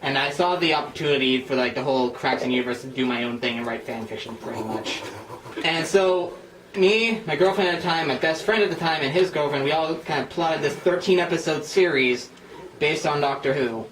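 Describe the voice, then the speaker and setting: A man with a slightly high voice chats casually as he hosts a script reading, poking fun at himself. He sits back from the mic in a roomy space, switching between explanation and read-out stage directions. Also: a little fast, a bit bright. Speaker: host of a script-reading session with friends. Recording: roomy, distant mic with faint noise.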